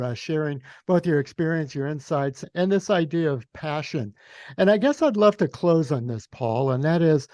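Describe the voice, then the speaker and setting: A man's voice: a little high, darkish tone, no echo. A man hosting an interview podcast in a conversational, appreciative and encouraging way. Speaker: podcast host.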